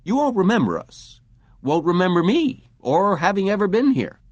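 A man voicing a smooth, persuasive middle-aged salesman who talks emphatically and genially. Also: high voice, sing-song, moderate pace, darkish tone, dry recording, muffled recording, voice pushed up.

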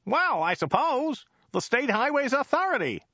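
A man's voice: sits high, big pitch swings, moderate pace, fairly bright tone, no room sound, highs cut off, voice pushed up, exaggerated voice. A man voicing a talkative, oblivious driver from California in an audiobook, nasal, whining and repetitive. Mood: puzzled. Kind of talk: audiobook character voice.

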